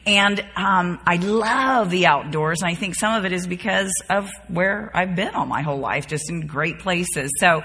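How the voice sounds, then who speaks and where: A woman in her fifties teaches a Bible study with a husky edge to her bright voice. She speaks in a folksy, conversational way to a group. The room rings with long reverb. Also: on the low side, a little slow, few pauses. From born and raised in the Bahamas, later North Carolina. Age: 50s. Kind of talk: informal devotional teaching to a group. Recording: reverberant hall.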